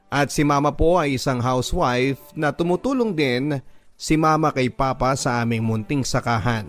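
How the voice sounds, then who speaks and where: A man reading a listener's letter aloud as a first-person story, in a measured and earnest way. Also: mid-range voice.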